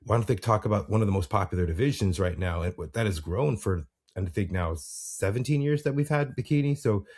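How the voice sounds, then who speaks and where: A man's voice: lowish voice, a little fast, darkish tone, husky. An American man hosting a podcast interview, rambling casually with many fillers and restarts. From United States. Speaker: podcast host.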